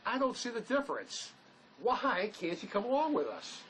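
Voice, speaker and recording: An actor playing a guarded, stubbornly protective fugitive in a drama, with a terse, questioning way of talking. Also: male voice, very high-pitched, wildly swooping pitch, normal speed, a bit bright, a little hiss or hum, heavily acted, poor audio. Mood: defensive. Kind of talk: dramatic dialogue reading.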